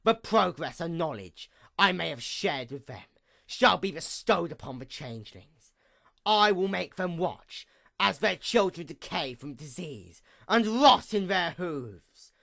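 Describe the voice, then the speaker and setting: Vengeful night goddess pony, acted by a woman, female villain, gravelly creak, sweeping intonation, theatrical, menacing, grandiose dramatic monologue. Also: fairly low pitch, lively intonation, a bit bright, full character voice. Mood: vengeful menace. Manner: theatrical, menacing, grandiose, with slow drawn-out emphasis. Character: vengeful, wounded pony goddess of night, proud usurper sister. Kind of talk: dramatic villain monologue, fan fiction reading.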